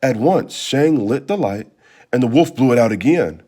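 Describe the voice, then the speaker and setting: A man reading a children's storybook aloud in expressive narration. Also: medium pitch, normal speed. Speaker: storybook reader.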